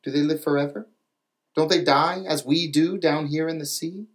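A man's voice: on the high side, steady tone, moderate pace, pitched above the performer's own voice, natural voice. Man reading as a wistful young mermaid, earnest. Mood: yearning.

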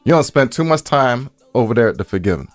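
A man's voice: medium pitch, expressive, fast talker, no echo. A man co-hosting a podcast in casual, joking banter, playful and repeating phrases for emphasis. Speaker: podcast co-host.

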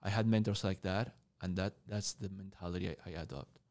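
A man from Taiwan, Argentina and Canada speaking conversationally as an interview guest, halting and repeating words as he goes. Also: low-pitched, fairly even intonation, a little mellow. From born in Taiwan, grew up in Argentina and Canada. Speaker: mentor and coach, podcast guest.

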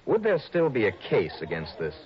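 An actor playing a wry, hardboiled investigator on a 1960s radio drama, who also narrates, speaking in a dry, clipped, wisecracking way. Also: male voice, lowish voice, huge pitch swings, moderate pace. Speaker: narrator and lead. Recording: dull old radio broadcast with music.

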